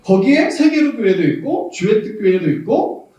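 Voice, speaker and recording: A Korean pastor from South Jeolla with a Jeolla dialect preaches a sermon, candid and reminiscing, laughing often and rising to emphasis, recorded as far-off audio in a church sanctuary. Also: male voice, high-pitched, sing-song, normal speed, mellow, very echoey.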